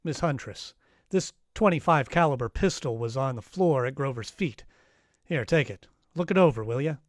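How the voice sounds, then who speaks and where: An actor playing a wry, tough private detective, narrating and questioning in an old-time radio crime drama. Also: male voice, medium pitch, lively intonation, moderate pace, dry recording, clean studio sound, close to an everyday voice. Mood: matter-of-fact. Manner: wry, matter-of-fact.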